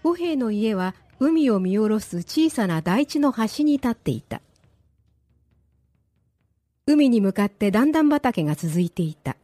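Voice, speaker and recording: A woman narrating a grave old village disaster tale for a radio drama in a measured, dramatic reading. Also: a little high, big pitch swings, mellow, no room sound, faint music behind.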